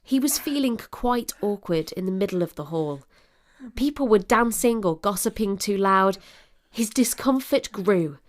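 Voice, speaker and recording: A woman narrator reading a scripted period drama in an expressive, literary storytelling manner. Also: mid-range voice, a little slow.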